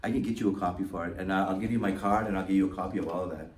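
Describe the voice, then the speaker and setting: Guy giving a fired-up talk to a crowd, voice leaps up on big words, mic way off in a boomy hall. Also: steady tone, very fast. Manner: impassioned, emphatic, with sweeping rises. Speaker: guest speaker. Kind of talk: public talk with audience Q&A. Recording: echoey hall, mic far off, faint noise.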